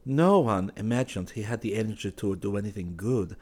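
A man's voice: on the low side, fairly quick, a little mellow, slightly rough. A man voicing a teasing young Parisian woman, sly and merry. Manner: lightly mocking, airy.

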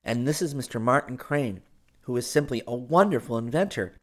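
A man voicing a lively, affectionate young woman in an audiobook, chatty and eager, taking charge of her friends. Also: medium pitch, brisk, voice pushed up, close to an everyday voice. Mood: proud, sociable. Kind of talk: audiobook character dialogue.

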